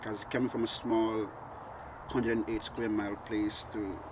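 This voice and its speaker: A man from Antigua speaking in a laid-back, unhurried way with pauses, in a documentary interview. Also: on the low side, flat delivery, far from the mic, background noise.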